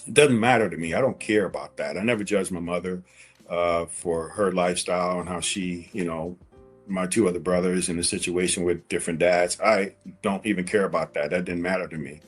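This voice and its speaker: A man's voice: deep voice, fairly even intonation, fairly quick, breathy edge, soft background music. A man in his forties speaking as an interview guest, candidly telling a personal story in a conversational way and repeating phrases for emphasis.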